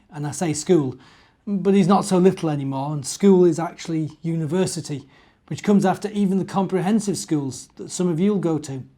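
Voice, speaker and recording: A man's voice: a little high, dark tone. A middle-aged man with a British accent, a minister telling stories to young children in a chatty way, with simple explanations and questions. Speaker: church minister and storyteller.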